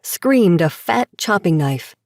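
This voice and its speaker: A woman's voice: lowish voice, lively intonation, takes it slowly, a little mellow, no echo, studio quality. A woman reading scripted audiobook narration as the narrator of a story.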